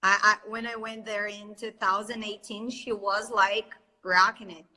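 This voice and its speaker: Bright Brazilian woman chatting in English. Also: mid-range voice, level tone, normal speed, poor audio. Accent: Brazilian Portuguese. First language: Portuguese. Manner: chatty, laughs often, hesitant with fillers. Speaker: English teacher, host. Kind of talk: casual live stream chat.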